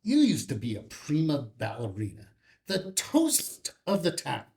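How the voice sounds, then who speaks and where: An actor playing a sneering, impatient, corrupt power broker in a script table read, pointed and deliberate. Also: male voice, fairly high pitch, huge pitch swings, darkish tone, exaggerated voice. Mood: contemptuous, menacing. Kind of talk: filmed screenplay table read.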